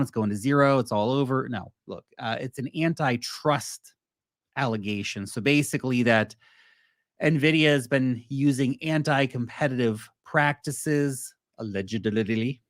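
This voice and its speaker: A man in the middle of a casual market chat, rambling from one point to the next and breaking off to answer viewers. He sits at an ordinary pitch, close and dry on the mic, with no room around him. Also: no echo. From United States. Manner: chatty, talks to chat, rambling asides. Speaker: crypto livestream host.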